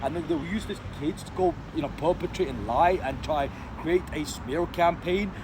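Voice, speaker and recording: Man from Sri Lanka, slightly high voice; rambling slangy talker, recorded outside over loud traffic. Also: normal speed. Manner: rambling, slang-heavy, many fillers and restarts. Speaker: vlogger. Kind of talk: casual unscripted monologue. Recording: noisy street with passing cars.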